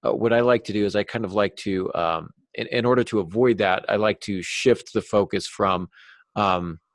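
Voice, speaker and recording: A man speaking as a guest novelist in a podcast discussion, explaining craft deliberately and with examples. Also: lowish voice, no room sound.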